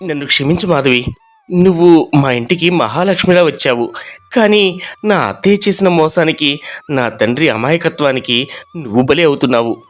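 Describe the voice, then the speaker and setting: An actor playing a loving young husband in a village moral story, regretful and emotional. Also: male voice, on the high side, moderate pace, soft background music, lightly acted. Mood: guilty, tender.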